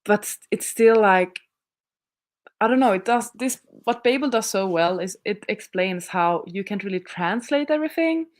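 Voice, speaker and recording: A woman's voice: mid-range voice, animated, moderate pace. A young Swedish woman, a native Swedish speaker, hosting a casual livestream, chatting in a rambling way with frequent laughs and restarts. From Sweden. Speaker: livestream host reading viewer chat.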